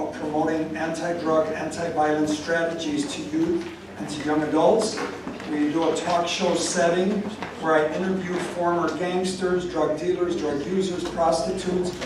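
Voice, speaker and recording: Male moderator, fairly high voice, informal but emphatic, with clear background noise. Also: far from the mic. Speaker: moderator at a community meeting. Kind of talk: informal public speaking. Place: large echoey meeting room. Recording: very echoey, noisy room from afar.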